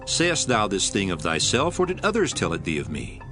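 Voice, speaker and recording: An actor playing Jesus, a calm male teacher, speaking in a measured, solemn way in a dramatized scripture reading with music behind. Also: fairly low pitch. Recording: audio drama with music bed.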